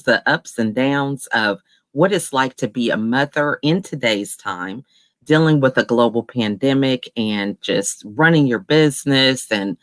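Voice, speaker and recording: A woman's voice: a bass voice, a bit bright, dry recording. A woman hosts an interview over a video call. She sounds upbeat and chatty and slips in an "uh" or "um" now and then. Her voice comes through dry and close, with no room around it. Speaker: host. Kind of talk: casual interview chat.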